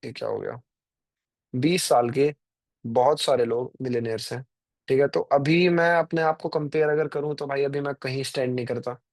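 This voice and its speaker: A man's voice: medium pitch, normal speed, vocal fry, no room sound. A man, chatting casually to viewers on a live stream, rambling and full of fillers. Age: 20s. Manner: rambling, filler-heavy, addresses viewers directly. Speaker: trading stream host.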